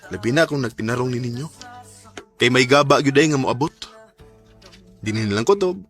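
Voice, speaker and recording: An actor playing a remorseful, unfaithful young boyfriend in a Bisaya radio drama, with a Cebuano Filipino accent and a stammering, dramatic delivery. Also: male voice, mid-range voice, moderate pace, fairly bright tone, soft background music, close to an everyday voice. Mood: regretful.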